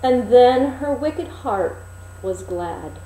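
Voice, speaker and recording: A woman reading a fairy tale as a storyteller, with deliberate, dramatic phrasing and pauses. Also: a little high, lively intonation, dark tone. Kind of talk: expressive, measured storybook reading. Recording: roomy echo, faint background noise.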